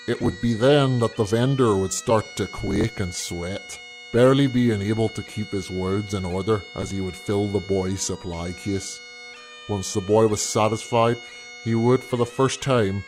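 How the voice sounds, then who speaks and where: A man's voice: lowish voice, a little mellow, voice pushed down, lightly acted. A man voicing a rustic village storyteller in an audio story, solemnly telling an old folk legend in archaic phrasing.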